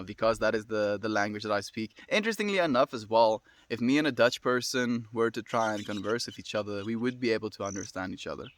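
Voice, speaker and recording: Fast-talking South African young man. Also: mid-range voice, fairly bright tone. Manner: fast-talking, friendly, reads and answers comments. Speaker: livestreamer. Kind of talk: casual chat with viewers.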